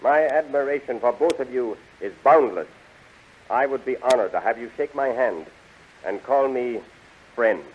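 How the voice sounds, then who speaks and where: An actor playing a gallant, commanding rebel prince in formal, declamatory 1930s radio serial style. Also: male voice, mid-range voice, normal speed, close to an everyday voice. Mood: earnest, commanding. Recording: hissy, muffled 1930s radio recording.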